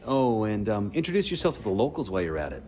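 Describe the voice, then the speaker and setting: An actor playing a weary, sardonic comms coordinator in a video game, briefing in a blunt, informal way. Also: male voice, fairly low pitch, sing-song, quick pace, darkish tone, slight background noise. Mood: casual, encouraging. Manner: blunt, informal, foul-mouthed. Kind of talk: video game cutscene acting.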